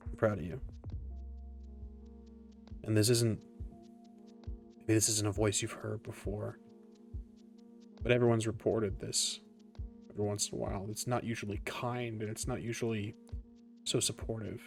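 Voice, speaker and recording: A man narrates a tabletop roleplaying game as its game master. His voice sits fairly low, his phrasing is deliberate and dramatic, and faint music plays behind him. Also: vocal fry.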